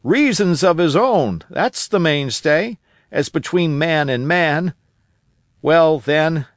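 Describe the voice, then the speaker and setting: A man voicing an eager, cunning old marooned sailor who talks in a rough rustic dialect. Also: a little high, lively intonation, slow, dry recording, clean studio sound, voice pushed up. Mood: anxious. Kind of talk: audiobook character voice.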